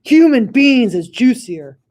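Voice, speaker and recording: A woman voicing a huge, greedy, man-eating male giant who blusters loudly in mangled grammar. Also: a little high, very animated, slow pace, pitched above the performer's own voice. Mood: menacing.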